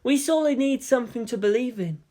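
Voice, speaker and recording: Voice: mid-range voice, animated, fast talker, warm tone, voice pushed up, natural voice. A narrator voicing an earnest, geeky young male lieutenant who lectures emphatically. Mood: bleak, searching.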